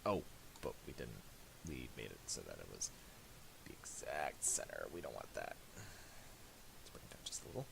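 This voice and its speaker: A man giving a casual, unscripted software tutorial, halting as he thinks aloud while he works. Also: rumbling low, huge pitch swings, vocal fry, in a whisper, rough recording. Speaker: tutorial host. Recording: hissy home recording with mouse clicks.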